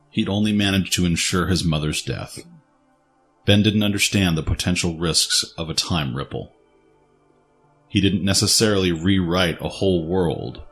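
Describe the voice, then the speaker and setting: Very deep-voiced male story narrator. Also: a bit bright. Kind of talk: scripted dramatic story narration.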